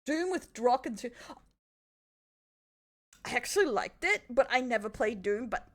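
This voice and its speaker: A woman's voice: on the high side, animated, unhurried. An Australian woman chatting casually as a streamer, rambling and opinionated with plenty of swearing. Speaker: streamer reacting to a video. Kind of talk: casual live reaction chat.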